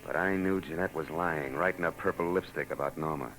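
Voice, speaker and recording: An actor playing a sardonic hardboiled private detective who also narrates, in a 1940s radio drama. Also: male voice, very deep voice, a little fast, music in the background, rough recording. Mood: narrating. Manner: dry, sardonic. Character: wisecracking hardboiled male private eye.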